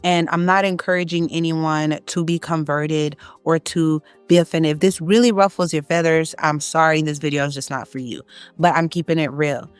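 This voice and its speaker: A woman's voice: steady tone, normal speed, clean tone, no room sound, soft background music. A woman from Haiti giving an emphatic, opinionated casual monologue, her voice climbing when she presses a point. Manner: emphatic, rising in pitch when making a point. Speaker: online content creator.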